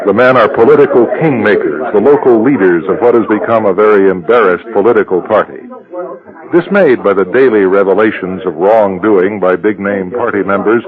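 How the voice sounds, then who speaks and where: A man's voice: on the low side, fairly quick, crowd noise. A man reading scripted narration as the announcer of a radio drama, on a dull old radio recording. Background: music.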